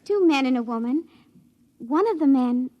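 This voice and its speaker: An actress playing a wistful, hopeful young space station assistant in a 1950s radio drama, hesitant and earnest. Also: female voice, high-pitched, lively intonation, moderate pace, no echo, muffled recording. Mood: dutiful.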